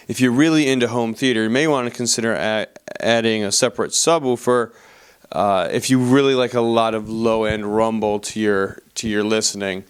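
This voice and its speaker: A man's voice: medium pitch, smooth. A man giving a casual voice-over product review, speaking as a reviewer to viewers.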